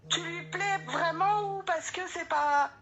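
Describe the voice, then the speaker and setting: A woman in her thirties from France, a caller talking haltingly about her troubles. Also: very high voice, highs cut off, crowd noise. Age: 30s. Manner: hesitant, halting.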